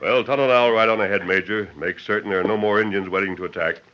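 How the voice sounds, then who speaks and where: Deep, commanding cowboy hero, played by a man. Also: fairly even intonation, fast talker, lightly acted. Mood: calm, courteous. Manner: authoritative, declamatory. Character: heroic masked cowboy, calm, commanding, courteous. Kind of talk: old-time radio western acting. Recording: dull vintage radio recording, busy crowd behind.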